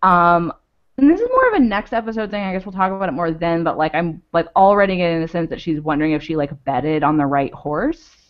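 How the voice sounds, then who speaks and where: A woman's voice: lowish voice, animated, brisk. A woman co-hosting a podcast, talking casually about a TV show and thinking aloud with lots of "like"s. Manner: rambling, thinks aloud with many "like"s. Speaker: podcast co-host. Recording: dull lo-fi audio.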